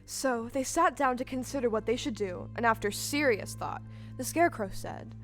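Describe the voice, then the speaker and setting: High-pitched woman storyteller. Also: normal speed. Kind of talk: scripted storybook narration. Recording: music under the voice.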